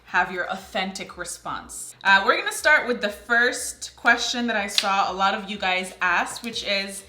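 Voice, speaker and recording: A woman's voice: mid-range voice, a little slow, roomy sound, noisy background. A woman in her twenties hosting a casual Q&A chat with her sisters, chatty and teasing, laughing often. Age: 20s. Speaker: channel host.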